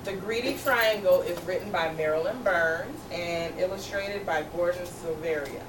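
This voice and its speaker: A woman's voice: lowish voice, moderate pace. A woman teacher reading a picture book to young children, encouragingly asking questions and repeating their answers back. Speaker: teacher reading aloud to second graders. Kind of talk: interactive storybook read-aloud with questions. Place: room with a group of children. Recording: distant, echoey, noisy room recording.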